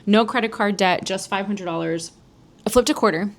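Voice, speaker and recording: A woman's voice: mid-range voice, normal speed. An American woman from New Jersey telling a personal story as a solo podcast host, in a chatty, candid, casual way with some swearing. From New Jersey, United States.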